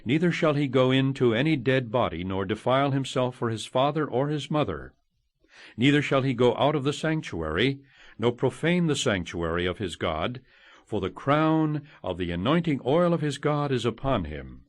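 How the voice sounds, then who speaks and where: A man reading scripture as an audiobook narrator, solemn and measured, declaiming each phrase and letting it fall at the end. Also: mid-range voice, lively intonation, dry recording. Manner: measured, declamatory, phrases ending on falling cadences.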